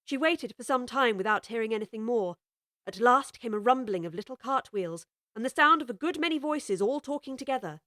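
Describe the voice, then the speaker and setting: A woman narrating a full-cast audiobook of a children's classic in a measured, expressive way. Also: on the high side, no echo, clean studio sound. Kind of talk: scripted storybook narration.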